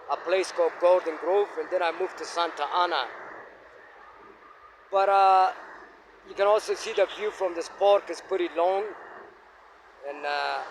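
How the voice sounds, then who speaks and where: A man in his fifties from Afghanistan, telling his life story to his phone camera while walking in an outdoor park, speaking in a halting, reflective way with many fillers. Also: sits high, a little hiss or hum, low-quality recording. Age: 50s. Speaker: vlogger. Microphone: phone held facing himself.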